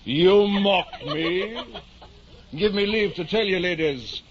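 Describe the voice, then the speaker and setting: An actor playing a deluded, grandiose middle-aged knight-errant, declaiming floridly in the style of 1950s radio drama. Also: male voice, high-pitched, slow. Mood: indignant, courtly. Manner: pompous, florid, theatrical declaiming. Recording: muffled, hissy 1950s radio recording.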